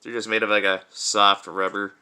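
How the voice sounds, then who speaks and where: Bright-voiced low-pitched man reviewing toys. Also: level tone, moderate pace, clear, resonant tone. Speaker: toy reviewer. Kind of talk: casual hands-on product demonstration.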